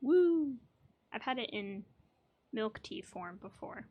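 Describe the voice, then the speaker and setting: A woman's voice: fairly high pitch, sing-song, slow, warm tone. A woman hosting a gaming video, giving casual, musing commentary that wanders off on tangents. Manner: musing, digressive. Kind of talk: casual chatty playthrough commentary.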